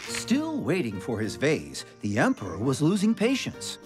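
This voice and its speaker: A woman narrating a children's cartoon fairy tale as a lively, playful storyteller. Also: rumbling low, moderate pace, full character voice. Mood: storytelling. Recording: music behind the voice.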